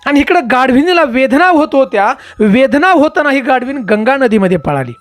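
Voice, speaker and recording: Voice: high-pitched, very animated, normal speed, no echo, faint music behind, pitched above the performer's own voice. A storyteller voicing a patient, learned male astrologer, explaining past-life karma emphatically and repetitively. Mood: earnest, resigned.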